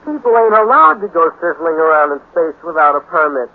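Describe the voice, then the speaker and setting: An actor playing a naive, nervous, admiring teenage sidekick with a hesitant gee-whiz manner in a 1950s radio drama. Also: male voice, fairly high pitch, very animated, fairly quick. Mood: worried. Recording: tinny, narrow-band 1950s radio recording.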